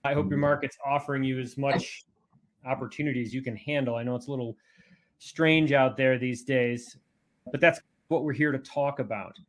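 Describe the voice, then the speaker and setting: A man's voice: medium pitch, steady tone, normal speed, slight background noise. A man hosting a webinar as a technology columnist, reading a scripted introduction with dry, self-aware asides.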